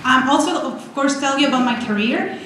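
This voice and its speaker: A woman in her forties from Venezuela, giving an energetic, chatty talk with slides as a guest speaker at a college. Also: sits high, fairly quick. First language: Spanish. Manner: excited, chatty, many restarts. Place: college lecture room. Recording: echoey hall, faint noise.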